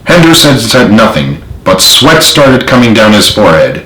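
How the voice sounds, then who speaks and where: A man's voice: on the low side, sing-song, moderate pace, fairly bright tone. A man reading his own story as scripted narration, in a roomy recording. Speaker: author narrating own book.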